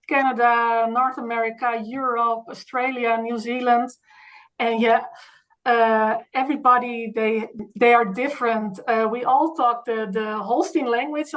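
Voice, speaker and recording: A woman with a Dutch accent, a native Dutch speaker, answering interview questions conversationally in halting, non-native English phrasing. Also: a little high, a bit bright, poor audio. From Netherlands, Friesland. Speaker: interview guest, dairy marketing salesperson.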